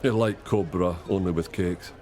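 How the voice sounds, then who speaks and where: Actor as a droll, teasing pub pal. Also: male voice, low voice, steady tone, moderate pace, dark tone, close to an everyday voice. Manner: bantering, droll. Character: teasing, jokey pub pal giving shrewd advice. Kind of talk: naturalistic radio soap acting.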